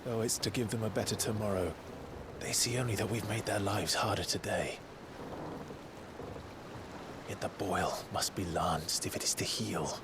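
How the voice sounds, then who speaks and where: An actor playing a grave, resolute outlaw in a video game, speaking in a measured, solemn way. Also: male voice, lowish voice, steady tone, noisy background, close to an everyday voice. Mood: solemn, reflective. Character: grave, resolute outlaw man carrying a heavy burden. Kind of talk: dramatic video game acting.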